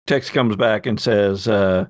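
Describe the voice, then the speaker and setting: A man acting as game master, improvising narration for a tabletop game and repeating phrases haltingly as he goes. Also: fairly low pitch, dry recording, studio quality.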